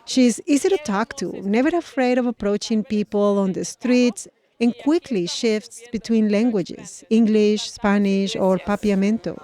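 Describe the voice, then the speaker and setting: Woman radio reporter, measured scripted narration, mellow, mid pitch. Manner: measured, clear storytelling. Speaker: news reporter.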